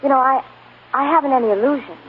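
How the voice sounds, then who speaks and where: An earnest young farm girl played by an actress with a high, emotional voice and a halting, hopeful way of talking. It is 1940s radio drama on a narrow, tinny recording. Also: a little hiss or hum, lightly acted. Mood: hopeful, shy.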